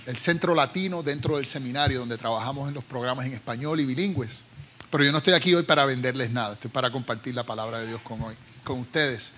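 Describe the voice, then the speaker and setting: A man's voice: medium pitch, bright, forceful tone, a little hiss or hum. A middle-aged man from Puerto Rico with a Puerto Rican accent, preaching a sermon and reading scripture with joking asides and restarts. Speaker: preacher from a seminary team.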